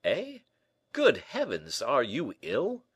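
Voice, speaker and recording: A man voicing a shrewd, brisk gentleman in an audiobook, animated, questioning and a little impatient. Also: mid-range voice, no room sound, pitched above the performer's own voice. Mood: astonished. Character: D'Artagnan, brisk, shrewd, exclaiming gentleman friend. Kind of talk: audiobook character acting.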